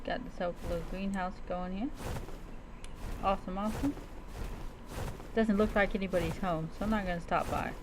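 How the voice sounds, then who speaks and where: A woman's voice: medium pitch, fairly even intonation, normal speed, pauses often. A woman giving chatty, casual gameplay commentary with light roleplay, thinking aloud to her viewers. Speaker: game streamer. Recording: clearly noisy, background ambience.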